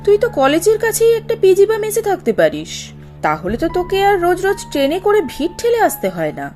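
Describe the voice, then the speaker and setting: An arrogant young college girl in a cartoon. Her high voice swoops dramatically from note to note. She is scornful and spiteful, with light music underneath. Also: huge pitch swings, fast talker, darkish tone, full character voice. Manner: sneering, scornful. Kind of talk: Bengali animated story dialogue.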